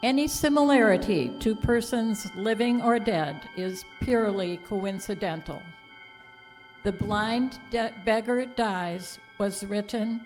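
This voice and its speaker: Slow-paced woman radio announcer. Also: mid-range voice, darkish tone. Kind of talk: scripted 1930s radio announcing.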